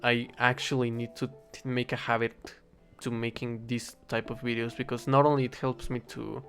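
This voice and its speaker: A young man with a Spanish accent, a native Spanish speaker, rambling in a casual, halting monologue while he plays a game and keeps correcting himself. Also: mid-range voice, flat delivery, fairly bright tone, faint music behind. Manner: halting, self-correcting, thinking aloud. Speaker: vlogger.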